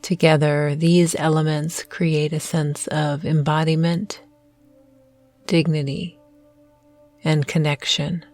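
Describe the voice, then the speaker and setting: Female meditation guide, low register, unhurried and soothing, music underneath. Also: little pitch movement. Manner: soothing, gentle, measured with long pauses. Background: soft meditation music.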